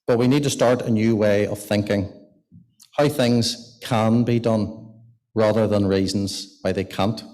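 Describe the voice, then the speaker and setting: A man's voice: lowish voice, steady tone, unhurried, darkish tone. A man delivering a prepared, formal speech from a lectern in a parliament gallery and introducing the next speaker. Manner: formal, read from notes at a lectern. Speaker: event host introducing speakers. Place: long gallery of a parliament building. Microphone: lectern microphone.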